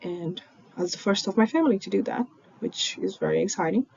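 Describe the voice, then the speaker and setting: A woman's voice: medium pitch, animated, a little fast, creaky, darkish tone. A young woman from Brazil with a Brazilian accent, Portuguese her first language, telling her own story in an earnest way with frequent ums. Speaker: PhD student in genetics. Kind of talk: personal story presentation. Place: home in Fortaleza, recorded remotely. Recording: dull lo-fi recording with faint noise.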